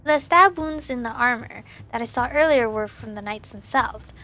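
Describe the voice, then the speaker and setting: A young woman hosting a let's play, reading a visual novel aloud with a hoarse, fading voice and casual asides. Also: a little high, normal speed, pushed and bright. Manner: casual asides between earnest narration. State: hoarse, losing her voice.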